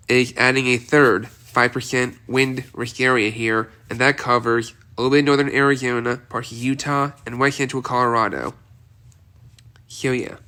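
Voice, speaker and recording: A man's voice: mid-range voice, bright, forceful tone. A man with a cold and a stuffy, congested voice, hosting a casual weather outlook update and rambling with frequent restarts and repetition. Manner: rambling, repeats himself with many restarts. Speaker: weather video host.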